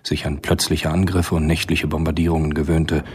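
An actor playing the grave narrator of a radio spy thriller, telling the story in a sober, measured way. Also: male voice, a bass voice, little pitch movement, background noise. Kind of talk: scripted literary narration in a radio play.

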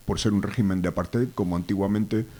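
Low-voiced Argentine man with a cold. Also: fairly even intonation, dark tone. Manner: measured, hesitant, many fillers. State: has a cold. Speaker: activist guest. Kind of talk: radio interview. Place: radio studio.

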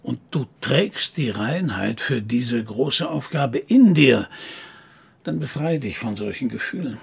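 An actor playing a menacing, manipulative grandfather in a radio crime drama, speaking deliberately, coaxing and then commanding, with a rough, husky voice. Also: medium pitch, animated, heavily acted. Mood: fanatical, stern. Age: older. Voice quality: husky, gravelly. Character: menacing, manipulative grandfather, a cold zealot preaching purity.

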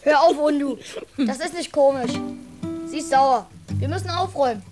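A woman's voice: sits high, moderate pace, music in the background, close to an everyday voice. A woman playing a protective, slightly cheeky schoolboy in a radio play, childlike and plain-spoken. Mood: bossy.

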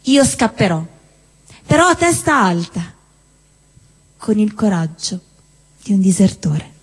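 A woman performing a theatrical spoken-word monologue on stage, declaiming with deliberate phrasing and dramatic pauses. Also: mid-range voice, big pitch swings, husky. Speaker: stage performer at a live show. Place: indoor cinema-theatre venue with long reverb. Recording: reverberant hall, live stage performance.

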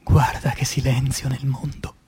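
A man reciting poetry as dramatic radio theatre, solemn and declamatory, breaking phrases with deliberate pauses. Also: mid-range voice, flat delivery, dark tone, husky, in a whisper.

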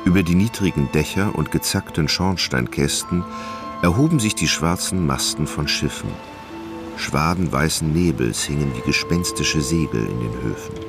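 A man narrating a literary radio drama in a measured, dramatic storytelling manner, in German studio style. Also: very deep voice. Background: plucked guitar music.

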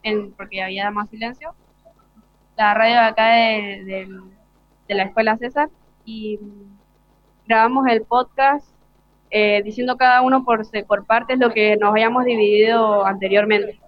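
A woman's voice: a little high, fairly even intonation, moderate pace, pauses often, no room sound. A young Argentine woman, a student, giving informal explanatory answers in an interview. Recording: dry, slightly dull lo-fi broadcast.

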